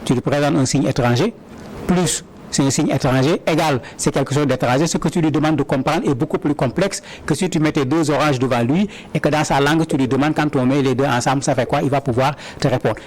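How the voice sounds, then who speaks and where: A man's voice: on the high side, brisk, darkish tone. A man answering interview questions earnestly, often repeating words as he starts a sentence. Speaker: interview guest.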